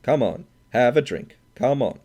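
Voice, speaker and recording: A man voicing a burly, drunken Swede who blusters and shouts his demands. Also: low voice, steady tone, normal speed, natural voice. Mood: boisterous drunk.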